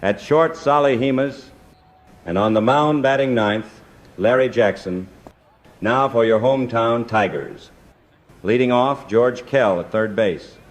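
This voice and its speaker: A man doing baseball play-by-play commentary as the announcer, in measured, clipped phrases. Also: medium pitch.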